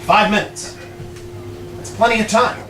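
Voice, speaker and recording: Man playing a high-voiced waiting companion onstage. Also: fairly even intonation, a little slow. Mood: speculating. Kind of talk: live stage acting, clipped absurdist dialogue. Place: small indoor stage. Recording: echoey noisy small venue, distant mic.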